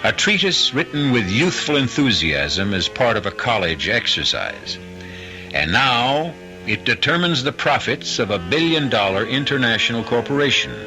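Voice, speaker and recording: Low, dramatic male radio narrator over music. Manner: dramatic, deliberate pauses. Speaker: host and narrator. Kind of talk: theatrical scripted radio mystery narration.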